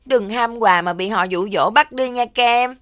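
A woman's voice: a little high, unhurried, fairly bright tone. A woman voicing a caring, sensible little robot friend in a children's cartoon, earnestly advising. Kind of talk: animated children's cartoon dubbing.

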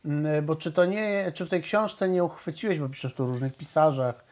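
A man's voice: on the high side, normal speed, darkish tone. Middle-aged male interviewer, studio set, meandering questions. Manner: long winding questions with fillers.

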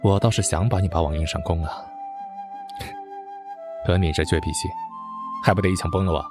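Man playing a deep-voiced, tender army commander. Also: a little fast, natural voice. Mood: teasing, devoted. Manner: sincere, gently teasing. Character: devoted male army brigade commander, weary, tender, quietly teasing. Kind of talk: Chinese audio drama acting. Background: background music.

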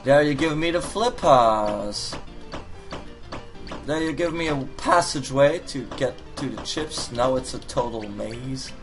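A man's voice: medium pitch, unhurried, music in the background. A man casually commenting while he plays a puzzle game, reading the hints aloud and joking as he goes. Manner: animated, reads on-screen hints aloud, jokey outbursts. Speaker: gaming video host. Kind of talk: casual let's-play commentary.

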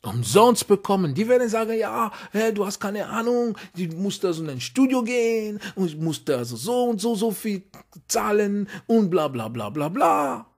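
A man preaching a sermon in a language he learned as an adult, hesitant and repeating words, then rising to emphatic, high-pitched exhortation. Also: sing-song, normal speed, a little mellow, breathy edge. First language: not German. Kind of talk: impassioned sermon.

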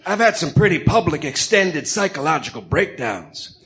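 A man's voice: a little high, very animated, moderate pace, gravelly, very noisy. A man voicing a grandiose, theatrical Greek ex-villain tycoon in a comedic radio drama. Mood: breezy, self-assured. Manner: pompous, theatrical. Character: flamboyant Greek ex-villain tycoon, grandiose, smooth self-justifying showman.

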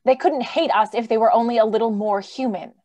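An actress playing an earnest, pleading young idealist in old-time radio drama style, imploring and theatrical. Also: female voice, fairly high pitch, brisk, mellow, no room sound, natural voice. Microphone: video call.

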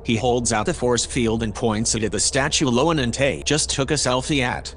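An actor playing a calm, analytical spaceship AI, narrating in a measured, formal, precise way. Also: male voice, mid-range voice, a little hiss or hum, close to an everyday voice. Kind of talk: audio drama narration.

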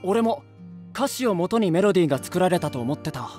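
A male actor playing a lively, frank young band singer in an anime voice drama, excitable and casually polite. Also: sits high, a little fast, soft background music.

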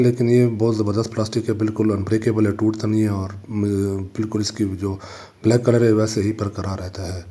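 A man's voice: lowish voice, warm tone. A young man giving a casual walkaround review of a motorbike, talking plainly with some fillers. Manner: plain, matter-of-fact, pauses with fillers. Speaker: motorbike vlogger. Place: indoor motorbike showroom.

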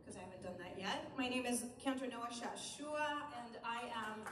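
A woman cantor with an Israeli accent, whose first language is Hebrew, introduces a song from the stage of a large hall full of people, speaking in a halting way with many fillers. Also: on the high side, lively intonation, background noise. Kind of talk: live concert stage introduction. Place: large hall with a seated audience. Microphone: far camera at the back of the hall. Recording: echoey hall heard from far off.

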